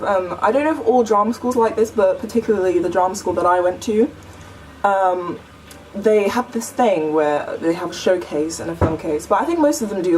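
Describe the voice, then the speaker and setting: A young woman with a deep voice chatting earnestly to camera in a vlog, full of "like" and "you know". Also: a little mellow, far from the mic, slight background noise, poor audio. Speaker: vlogger. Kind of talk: casual chat to camera.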